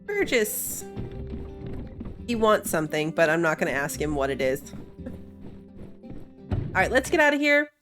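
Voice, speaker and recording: A woman's voice: lowish voice, sing-song, unhurried, long pauses. A woman giving casual gameplay commentary as she plays a video game. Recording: game music behind the voice.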